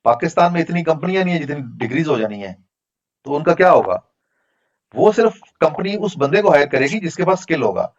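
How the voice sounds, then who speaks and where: A man's voice: fairly high pitch, very animated, a little fast, lo-fi sound. A man teaching an online class, answering students' questions in a frank, explanatory, conversational way over a video call. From Pakistan. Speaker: instructor.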